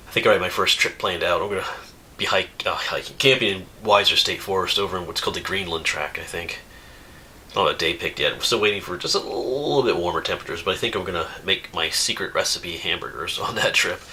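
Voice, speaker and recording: Low-voiced sniffly man chatting. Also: fast, pushed and bright, slight background noise. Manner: rambling and chatty, laughs often, restarts. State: sniffly with an oncoming cold. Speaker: outdoors YouTuber reading viewer mail. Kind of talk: casual chat. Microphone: camera mic.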